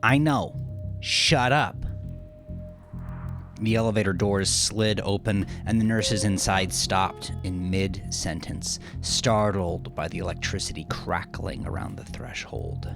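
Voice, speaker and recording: Gravelly, low-voiced male narrator over music. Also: flat delivery, unhurried, hardly any pauses. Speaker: audiobook narrator. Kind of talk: dramatic scripted fiction narration. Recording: music behind the voice.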